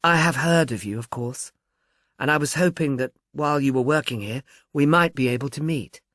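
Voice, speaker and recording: A man's voice: mid-range voice, moderate pace, a bit bright, dry recording, clean studio sound, natural voice. A man voicing a poised young woman in her twenties, civil and mildly sardonic, drawling with a slight lisp. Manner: civil, self-possessed.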